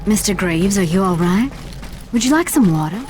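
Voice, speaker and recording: A woman's voice: medium pitch, animated, moderate pace, darkish tone, slight background noise, lightly acted. An actress playing a polite, solicitous woman of a western saloon in a video game. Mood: polite concern.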